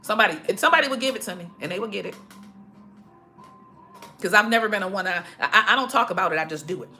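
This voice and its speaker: Preachy woman with a bright voice. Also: mid-range voice, lively intonation, quick pace, soft background music. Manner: preachy, emphatic, repeats herself. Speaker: motivational speaker. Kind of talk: casual motivational talk to followers. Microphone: phone.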